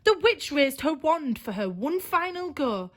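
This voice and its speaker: A woman storyteller narrates a rhyming children's tale. Her voice is high and very animated, and she takes her time with expressive, dramatic phrasing. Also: measured pace.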